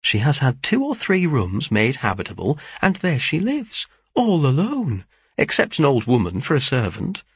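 Man voicing an animated, gossipy young sister. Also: medium pitch, moderate pace, slightly rough, dry recording, studio quality, voice pushed up, heavily acted. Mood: excited, gossipy. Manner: chattering, breathless with gossip, emphatic. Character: excitable, gossipy young sister bursting with news. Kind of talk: dramatised audiobook reading of a classic novel.

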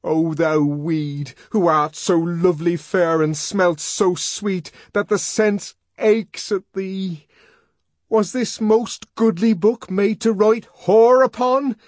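A man playing a violent, tormented young savage man in an audiobook, shouting and ranting verse. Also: high-pitched, fairly even intonation, slow, dry recording, studio quality, voice pushed up. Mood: raving.

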